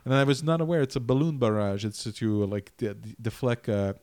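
A man co-hosting a podcast who talks in a halting way with many restarts while discussing a miniseries. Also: medium pitch, fairly even intonation, a little mellow, clear, resonant tone, dry recording. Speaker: podcast co-host. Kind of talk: casual review chat.

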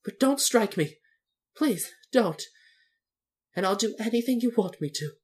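A man's voice: sits high, lively intonation, moderate pace, pitched above the performer's own voice. A man voicing a little old humbug wizard, meek, fussy and apologetic, with a trembling voice. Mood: frightened.

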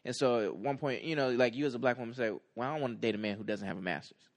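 A man in his twenties in casual conversation, haltingly circling back over his phrases as he makes a point. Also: medium pitch, vocal fry. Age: 20s. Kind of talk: casual chat.

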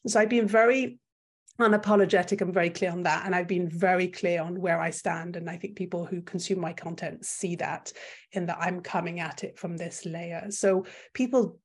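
A woman's voice: lowish voice, fairly even intonation, moderate pace, slightly rough. A woman whose first language is French, speaking as an opinionated, emphatic guest in a conversational interview, often restarting her sentences. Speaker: guest, content creator.

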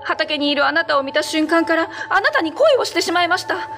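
A woman's voice: expressive, a little fast, music in the background. A woman playing a lovelorn shapeshifting cat monster living as a wife, in an eerie, emotional radio drama style. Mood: tearful, pleading. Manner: eerie low chanting and tearful, beseeching dialogue. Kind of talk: radio drama horror acting.

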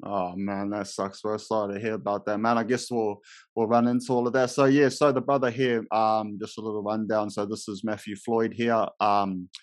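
A man hosts a chat in a low, laid-back voice, full of bro and brother. The sound is dry and close, with no room around it. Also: little pitch movement, normal speed, dry recording. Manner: laid-back, calls everyone bro and brother, many fillers. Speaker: podcast host. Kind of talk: casual interview.

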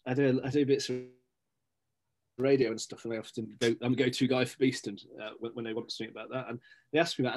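A man's voice: mid-range voice, a little mellow, breathy edge. A middle-aged man, a guest in a casual interview, talking in rambling sentences with many fillers and restarts. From Scotland-born, raised in Stapleford, England. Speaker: guest, local magazine editor.

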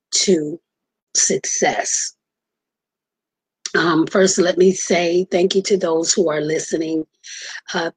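Soft-spoken woman in her forties, halting. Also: on the low side, husky, no room sound, rough recording. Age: 40s. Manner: earnest, halting with many ums. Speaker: podcast host. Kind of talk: personal storytelling. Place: home, just moved in.

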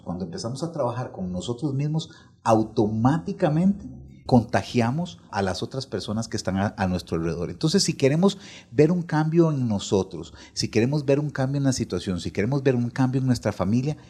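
A man speaking deliberately as a podcast guest, in a motivational conversational style with repeated phrases for emphasis. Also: mid-range voice, expressive, warm tone, slightly rough. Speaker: podcast guest, programme facilitator.

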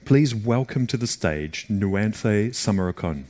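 A man's voice: fairly low pitch, animated, slow pace, dark tone, a little hiss or hum. A man hosting an event, giving a stage introduction for a speaker.

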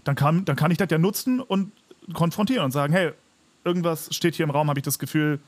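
A man's voice: fairly high pitch, quick pace. A man co-hosting a podcast in casual chat, talking in a hedging, conciliatory way. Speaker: podcast co-host.

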